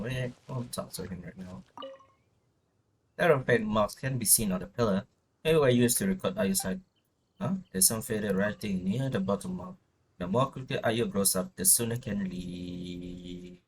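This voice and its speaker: A man live-streaming a video game, reading on-screen text aloud and casually thinking out loud as he plays. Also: lowish voice, unhurried, long pauses, slight background noise, low-quality recording.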